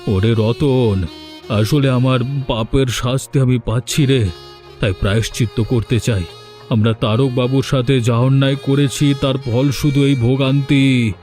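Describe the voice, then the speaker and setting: A man's voice: medium pitch, fairly even intonation, a little slow, darkish tone, lightly acted. Sickly, pleading village schemer played by a man. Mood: remorseful, pleading. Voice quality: coughing, sickly. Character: sick, frightened, repentant village schemer, a man. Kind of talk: melodramatic cartoon voice acting. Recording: music behind the voice.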